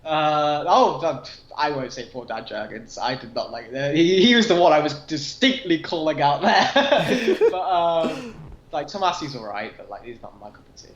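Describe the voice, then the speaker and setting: A man with a fairly high voice talks casually as a podcast co-host. His delivery is earnest and rambling, and the recording is roomy and a little dull. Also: very animated, normal speed. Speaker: comic book review podcast co-host. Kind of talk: casual fan discussion.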